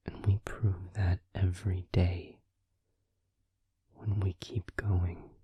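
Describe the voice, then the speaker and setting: Male actor as devoted vampire boyfriend for comfort audio: hushed, very husky, low and unhurried, soothing. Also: little pitch movement, no echo, highs cut off, heavily acted. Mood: earnest, reassuring. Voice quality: breathy, husky whisper. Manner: slow, tender, soothing, reassuring. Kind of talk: intimate whispered ASMR comfort roleplay. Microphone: close microphone, dry.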